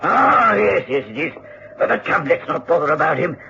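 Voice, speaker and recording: An actor playing an irascible, eccentric English landowner in an old radio drama, brusque and distracted. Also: male voice, fairly high pitch, very animated, normal speed, gravelly, sharp tone, full character voice. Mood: preoccupied, eager. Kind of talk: 1960s radio drama acting. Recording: dull, noisy old radio recording.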